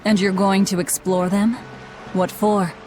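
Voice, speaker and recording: A woman's voice: medium pitch, level tone, a little slow, music in the background, natural voice. A woman playing a cold young female fugitive in a game, with a curt, blunt manner. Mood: guarded. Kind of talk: dramatic game voice acting.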